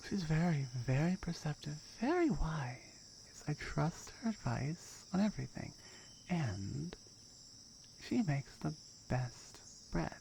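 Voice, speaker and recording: Tiger girl played by a woman: territorial, firm, matter-of-fact ASMR roleplay. Low voice with a gravelly creak. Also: sing-song, slow, dark tone, a little hiss or hum, exaggerated voice, low-quality recording. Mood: wry, matter-of-fact. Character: firm, dominant tiger girl guarding her jungle territory.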